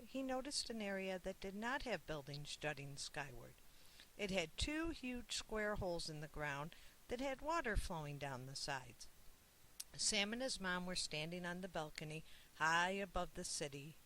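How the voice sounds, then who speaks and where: An author reading a children's picture book aloud in a measured, expressive way. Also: mid-range voice, slight background noise, low-quality recording. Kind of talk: scripted picture-book narration.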